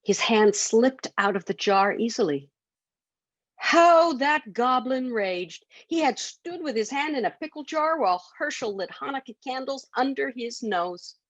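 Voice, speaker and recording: Very expressive woman storyteller, dry sound. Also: fairly high pitch, a little slow, dry recording. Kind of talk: expressive children's bedtime story reading.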